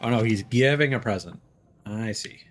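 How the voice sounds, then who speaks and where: A man's voice: on the low side, moderate pace, music in the background. A man giving casual, dry live commentary while streaming a video game.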